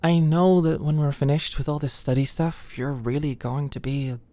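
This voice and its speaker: A woman's voice: low-pitched, fairly even intonation, moderate pace, mellow, dry recording, natural voice. A woman playing an anxious, stressed-out young medical student in an intimate roleplay monologue, rambling and stammering as she goes. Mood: shy, grateful. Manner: rambling, stammering, hesitant, swearing.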